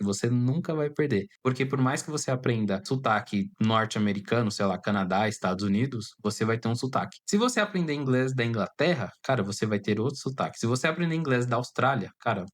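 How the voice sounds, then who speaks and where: A man in his thirties with a Brazilian Portuguese accent, an English teacher chatting casually to camera and dropping in little tag questions. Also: medium pitch. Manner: chatty, peppers speech with "cara" and "tá?". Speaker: English teacher and channel host.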